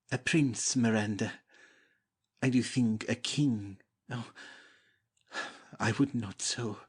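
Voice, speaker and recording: A man acts a lovestruck young prince in a Shakespeare audiobook. His mid-pitched voice is husky and breathy around the edges, and he declaims his devotion with fervent, gallant humility. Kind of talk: dramatic Shakespeare audiobook reading.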